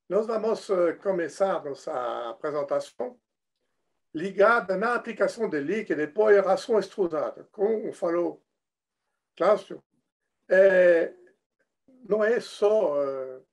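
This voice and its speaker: Male industrial consultant, northern French accent, a touch higher in pitch, halting lecture delivery. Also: vocal fry. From northern France. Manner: halting, many fillers and restarts. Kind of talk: technical lecture.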